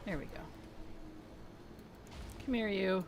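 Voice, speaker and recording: Live streamer, mid-pitched, strained and weak-voiced, chatty and soft-spoken, reads chat aloud. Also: slow pace, background noise. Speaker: game streamer. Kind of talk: casual live-stream chat while playing.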